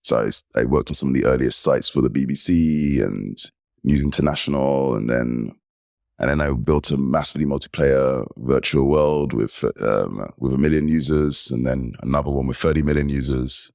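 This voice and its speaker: English man, forties, podcast guest; animated storyteller, very low voice, dry sound. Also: normal speed, creaky, dry recording. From United Kingdom. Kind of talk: casual interview chat.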